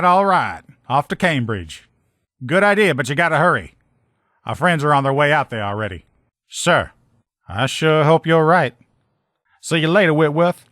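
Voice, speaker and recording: A man's voice: a little high, a bit bright, no echo, studio quality. A man voicing a slangy, good-humored veteran lieutenant and mechanic in a video game. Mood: brisk, urging. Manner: folksy, slangy, talkative. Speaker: video game character voice actor. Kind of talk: video game dialogue lines.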